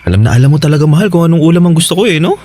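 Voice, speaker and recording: An actor playing an easygoing, evasive tricycle-driver husband in a Tagalog radio drama, casual, hesitant and placating. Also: male voice, fairly high pitch, lively intonation, racing, background noise, natural voice. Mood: easygoing, affectionate.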